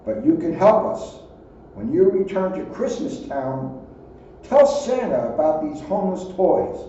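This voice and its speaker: Pleading, solemn storybook king read by a man, mellow, far from mic. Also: fairly high pitch, very echoey, lo-fi sound, slight background noise.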